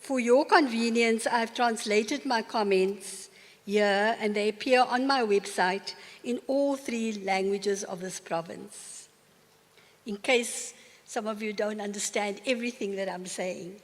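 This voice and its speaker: An older woman with a South African accent giving a formal acceptance speech in a large university hall, measured and earnest. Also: medium pitch. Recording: long reverb of a large hall.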